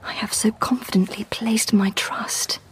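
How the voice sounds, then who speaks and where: An actress with a British accent playing an earnest, determined young wife in a radio drama, polite and formal. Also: female voice, medium pitch, little pitch movement, measured pace, in a whisper, lightly acted. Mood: hushed. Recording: noisy radio drama soundscape with clicks.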